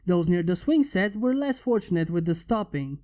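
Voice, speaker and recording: A man's voice: sits high, lively intonation, moderate pace, mellow. A man reading a fan fiction story aloud as scripted narration.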